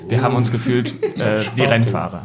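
A man's voice: mid-range voice, brisk, over a noisy crowd. A man in his twenties chatting casually as a guest on a podcast. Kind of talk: casual chat.

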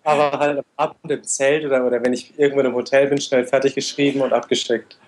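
A man's voice: medium pitch, level tone, fast. A young man in his twenties answers interview questions at length. He talks steadily and goes into a lot of detail, with a mid-range voice. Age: 20s. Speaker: interview guest, motorcycle traveler.

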